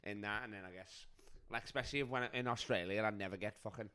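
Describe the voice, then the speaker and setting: A man's voice: on the low side, fast, bright, forceful tone, smooth. Laid-back young man, casual banter. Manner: laid-back, agreeable, trailing off with "you know what I mean". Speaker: podcast guest.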